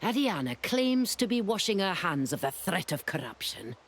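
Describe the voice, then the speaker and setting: Wry, very animated adventurer. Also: male voice, high-pitched, normal speed, a little hiss or hum, heavily acted. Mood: skeptical. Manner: wry, polite, theatrical phrasing. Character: wry, theatrical male adventurer companion, courteous and shrewd. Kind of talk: fantasy game dialogue.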